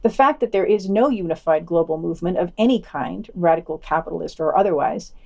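A woman's voice: on the low side, a little fast, creaky, a little mellow. A woman co-hosting a podcast, reading a scripted news round-up and dropping in wry, sardonic asides. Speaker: podcast co-host.

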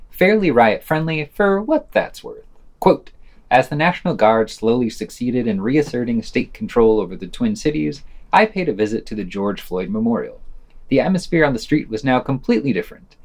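A man podcast host reading a scripted news roundup with quoted article excerpts. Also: medium pitch.

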